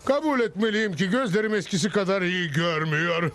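A man's voice: high-pitched, a little fast, fairly bright tone. A man voicing a blunt, matter-of-fact ageing prison guard in a cartoon dub. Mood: wry. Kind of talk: Turkish-dubbed cartoon acting.

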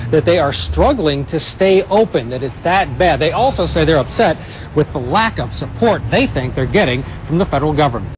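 Guy reporter on a street, clipped on mic, bit high voice, faint traffic noise. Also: lively intonation. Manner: clear, measured reporter delivery. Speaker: field reporter. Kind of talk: news report narration. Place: outdoors on a small-town street.

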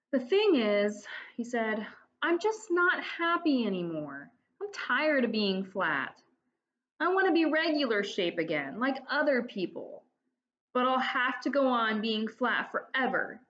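Teacher lady reading a kids book on her webcam, slow and bouncy, kinda muffled. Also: mid-range voice. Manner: slow, expressive storytelling with lively voiced dialogue. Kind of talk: children's read-aloud. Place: home room, indoors. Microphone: laptop webcam.

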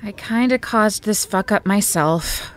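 A woman's voice: medium pitch, a little slow, lightly acted. An actress playing a heartbroken, self-pitying woman with a sardonic, casual way of talking, in a naturalistic audio drama. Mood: dejected.

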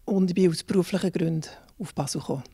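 A woman in her forties with a Bernese Swiss German accent, answering interview questions softly and a little breathily in a small studio room. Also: on the low side, quick pace, slightly rough. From Bern, Switzerland. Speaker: singer and teacher, interview guest. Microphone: handheld mic held by interviewer.

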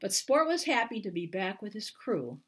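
Expressive older American woman with lively pitch. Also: normal speed, breathy edge. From Iowa, United States. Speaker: children's book author. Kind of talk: picture book read-aloud and informal talk to viewers. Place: living room at home, indoors. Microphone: webcam at arm's length.

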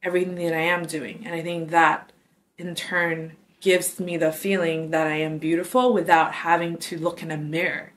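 A woman in her twenties from Taiwan, a dancer, speaking softly and thoughtfully in an interview with some halting pauses. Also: sits low, level tone. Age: 20s. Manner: soft-spoken, thoughtful, halting. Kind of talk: interview testimony. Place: dark studio indoors.

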